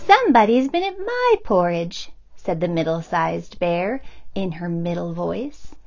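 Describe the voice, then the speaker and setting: A woman, a children's librarian, reading a picture book aloud in a gentle, expressive storytelling manner. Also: mid-range voice, dull audio.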